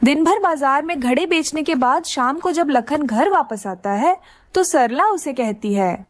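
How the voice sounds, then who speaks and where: A woman's voice: sits high, animated, unhurried. A woman reading scripted narration for a children's cartoon story.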